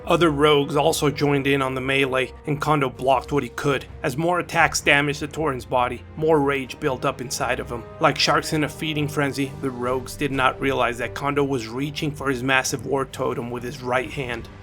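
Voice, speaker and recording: Male narrator for a fantasy audio story, creaky edge, dramatic scripted read over light music. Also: mid-range voice, steady tone, moderate pace, few pauses, a bit bright. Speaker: author and narrator.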